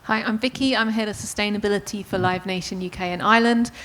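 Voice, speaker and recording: A woman with a mid-range voice introduces herself on a conference panel. She talks briskly and in a businesslike way. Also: mid-range voice, fairly even intonation, fairly bright tone, poor audio. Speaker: head of sustainability.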